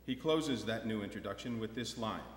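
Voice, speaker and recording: A man's voice: on the low side, normal speed. A man giving a prepared introduction to a live audience as the host of a staged reading. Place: large indoor hall or theatre. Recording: echoey hall with long reverb.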